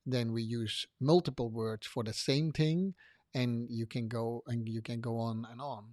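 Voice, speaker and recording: A man's voice: mid-range voice, fairly even intonation, a little slow, no room sound. Man, dry close sound. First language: Dutch. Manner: thoughtful, rambling with restarts and soft trailing endings. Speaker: podcast co-host. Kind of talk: casual conversation.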